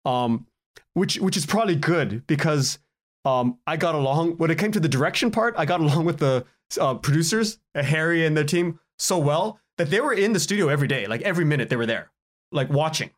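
Higher-voiced man telling stories casually. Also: brisk. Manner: animated storytelling, acts out quoted exchanges, chuckles often. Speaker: guest, audio drama writer and director. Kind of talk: casual podcast interview, anecdotal.

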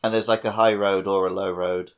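A man's voice: low voice, level tone, brisk, clean tone. A man co-hosting a podcast in casual, joking conversation. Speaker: podcast co-host. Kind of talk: casual chat.

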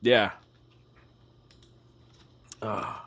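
A slightly hoarse man co-hosting a casual chat, rambling with fillers and laughing between phrases. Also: extremely slow, a bit bright. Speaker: co-host.

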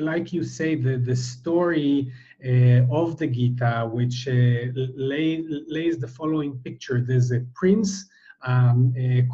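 A middle-aged man, a Hebrew speaker, answering interview questions haltingly, full of fillers and restarts. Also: a little high, dark tone. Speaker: guest, translator. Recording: dull, muffled sound.

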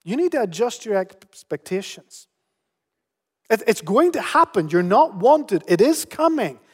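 Middle-aged guy preaching, fairly high voice, stumbles and repeats words, reverb from the hall. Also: big pitch swings, measured pace, slightly rough. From Spain. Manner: earnest, repeats words when starting a phrase. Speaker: pastor. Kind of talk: sermon.

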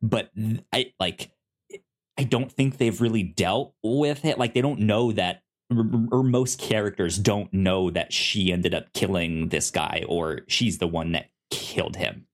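A man chatting casually as a podcast co-host, rambling and often doubling back on his words as he gives his review. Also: sits low. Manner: halting, rambling, repeats words.

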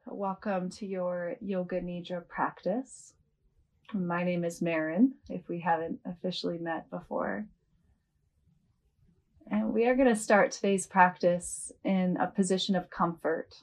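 A woman leading a guided yoga nidra meditation as a host, speaking gently and without hurry, with long pauses. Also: on the low side, fairly even intonation, poor audio.

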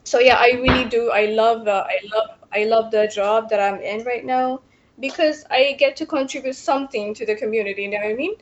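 A young woman from Ethiopia, a civil engineer answering radio interview questions with many pauses and fillers. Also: on the high side, lo-fi sound.

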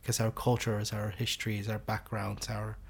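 A young man from the United States, speaking in an interview in a reflective, measured way, stretching out key phrases. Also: lowish voice, completely flat delivery, vocal fry, slightly rough. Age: young adult. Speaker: guest, student organiser. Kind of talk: conversational interview.

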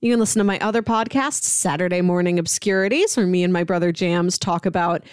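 A woman's voice: lowish voice, fast talker, clear, resonant tone, dry recording, studio quality. A woman co-hosting a podcast in casual conversation. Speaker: podcast co-host.

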